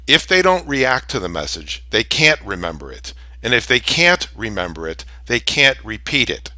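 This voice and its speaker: A man's voice: medium pitch, very animated, normal speed, pushed and bright, dry recording. A man presenting business advice in an instructional monologue, speaking emphatically in short, punchy statements. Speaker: branding expert, presenter.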